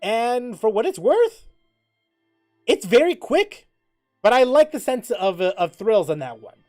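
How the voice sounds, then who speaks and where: A man hosting a livestream in casual, rambling chat, often halting to repeat and rephrase himself mid-sentence. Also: very high voice, very animated, darkish tone, faint music behind. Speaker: livestream host.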